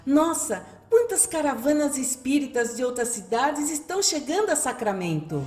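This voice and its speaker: Marveling female spirit, highish voice, lively intonation, echoing radio play, faint music. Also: moderate pace. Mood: excited, marveling. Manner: exclaiming, wonder-struck. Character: excited female spirit watching from the spiritual plane. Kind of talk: radio drama acting.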